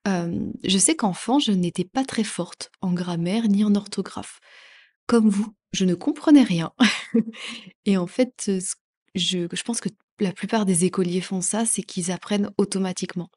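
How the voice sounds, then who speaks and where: A woman's voice: mid-range voice, unhurried, a little mellow, breathy edge. French woman teacher chatting on her podcast, lots of euh, laughs a lot. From France. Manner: chatty, many fillers, laughs often. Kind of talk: casual educational podcast talk.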